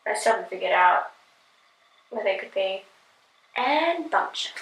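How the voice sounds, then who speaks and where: A woman hosting a casual reaction video, chatting and giggling, often filling pauses with "like". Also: mid-range voice, expressive, a bit bright, echoey room, poor audio. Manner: chatty, giggly, filler-heavy.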